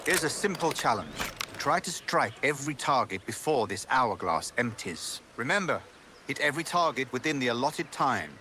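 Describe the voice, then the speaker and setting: An actor playing a genteel, cordial English sea captain in a video game, with an English accent and a polished, hearty manner. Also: male voice, medium pitch, very animated, moderate pace, pushed and bright, slight background noise. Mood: cordial, encouraging. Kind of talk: video game cutscene acting.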